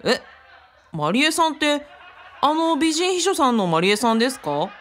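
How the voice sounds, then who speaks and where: A woman's voice: sits high, very animated, normal speed, slight background noise. A woman playing a curious, supportive young man in a radio drama, casual and encouraging.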